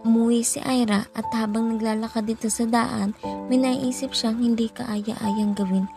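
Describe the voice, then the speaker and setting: A woman's voice: fairly high pitch, level tone, moderate pace, vocal fry, music in the background. A young woman with a Filipino accent reading scripted narration for a student radio drama. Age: young adult.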